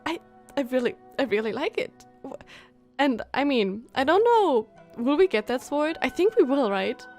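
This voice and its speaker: A woman game streamer giving casual live reactions, thinking aloud in an expressive, halting way. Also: high-pitched, soft background music.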